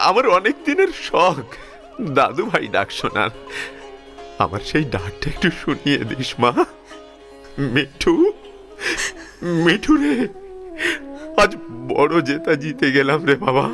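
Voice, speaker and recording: Man acting slow, impassioned elderly father-in-law. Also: on the high side, wildly swooping pitch, fairly bright tone, soft background music, full character voice. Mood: bittersweet joy. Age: older. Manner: slow, pleading, repeating phrases with emotional pauses. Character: tender, grieving elderly father-in-law, resolute and protective. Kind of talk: emotional audio drama acting.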